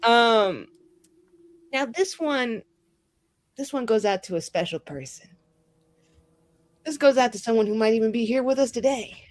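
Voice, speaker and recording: A woman's voice: a little high, lively intonation, a little slow, long pauses, no echo. A woman telling an improvised tabletop roleplay scene casually and dramatically, colloquial and sweary. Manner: dramatic, profane, colloquial with many "like"s.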